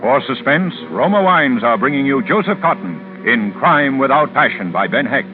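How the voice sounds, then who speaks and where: A man giving formal, dramatic, deliberate introductions as a 1940s radio program announcer. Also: mid-range voice, animated. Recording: muffled vintage radio with loud music.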